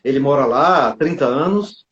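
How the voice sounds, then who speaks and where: A man's voice: mid-range voice, lively intonation, normal speed. A man hosting a live video interview, chatty and full of fillers and asides. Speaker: live stream host. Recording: echoey, dull lo-fi stream audio.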